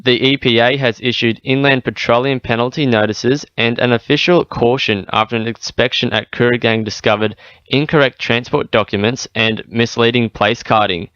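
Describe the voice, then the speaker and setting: A man's voice: lowish voice, fairly bright tone, dry recording, dull audio. An Australian man reading a scripted radio news bulletin.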